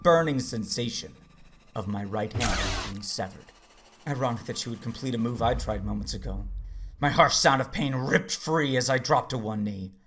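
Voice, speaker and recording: An actor playing a battle-weary warrior father who narrates his own duel in an audio drama, grave and intense, breathy in places. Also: medium pitch, fairly bright tone. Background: music.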